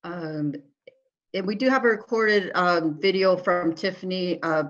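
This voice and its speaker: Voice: mid-range voice, a little slow, fairly bright tone. Upbeat coordinator on a dull call. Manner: upbeat, with many fillers. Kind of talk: meeting facilitation. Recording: dull video call audio.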